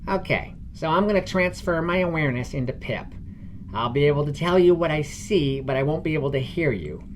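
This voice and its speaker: A man's voice: fairly high pitch, expressive, brisk, slight background noise, close to an everyday voice. A man voicing a female gnome spellcaster in a fantasy story, with a stern, instructive and wry manner. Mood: explaining, focused. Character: bossy, dry-humored female gnome spellcaster.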